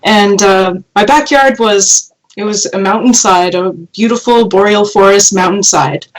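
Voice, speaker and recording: A woman from northern Quebec talks about her past at an unhurried, reflective pace. Her voice sits in an ordinary middle register, and she often pauses, backtracks and fills gaps as she thinks. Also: mid-range voice, low-quality recording. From Canada, northern Quebec. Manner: thoughtful, with many fillers and restarts. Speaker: guest paleoartist. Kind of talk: relaxed storytelling on a podcast.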